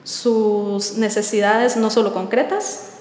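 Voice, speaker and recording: A woman, answering interview questions thoughtfully with many filler pauses. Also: mid-range voice, steady tone, darkish tone, slight background noise. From Costa Rica. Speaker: artist in residence. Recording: roomy echo with long reverb.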